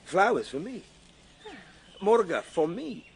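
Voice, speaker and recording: An actor playing an earnest, reflective gentleman time traveller in a radio drama, moving between measured storytelling and simple, tender coaxing. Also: high-pitched, very animated, vocal fry, slight background noise, exaggerated voice. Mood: tender, cheerful. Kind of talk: radio drama narration and acting.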